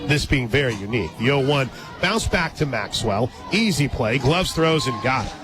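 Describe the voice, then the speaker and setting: A man doing live radio play-by-play of softball, chatty and informal, drifting into conversational asides between pitch calls. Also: lively intonation, normal speed. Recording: crowd noise and loud music behind. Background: ballpark crowd, music, outdoor stadium ambience.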